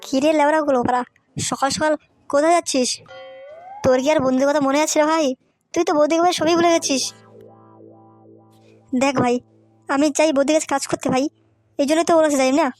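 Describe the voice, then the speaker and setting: A foul-mouthed young village man from a comedy cartoon, put on by a performer, brash and slangy. The voice is high and rattles along quickly over background music. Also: fairly even intonation, a little mellow, close to an everyday voice. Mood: brash, bantering. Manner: crude slangy banter, brash. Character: foul-mouthed young village slacker men, scheming, teasing friends. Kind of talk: comic cartoon dialogue. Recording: music behind the voice.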